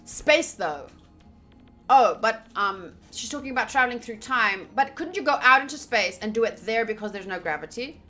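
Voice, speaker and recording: Bright-voiced woman streaming a game. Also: a little high, animated, normal speed, soft background music. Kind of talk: casual livestream chat and game read-along.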